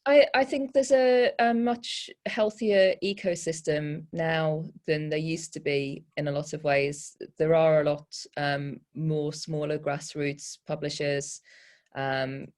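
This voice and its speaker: A woman poet giving informal panel advice in a candid, self-deprecating, halting way. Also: low-pitched, steady tone, darkish tone.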